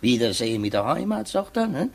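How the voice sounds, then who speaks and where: A good-natured male prison warder in a radio play. His husky voice swings widely in pitch. He rambles and hesitates as if confiding something. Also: sing-song. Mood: amused. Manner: halting, folksy, rambling, confiding. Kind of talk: radio drama acting.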